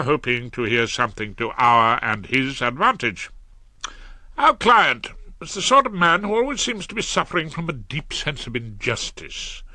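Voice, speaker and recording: A man's voice: mid-range voice, bright, forceful tone, no echo. A man narrating a comic audiobook in the first person with wry, dryly ironic asides.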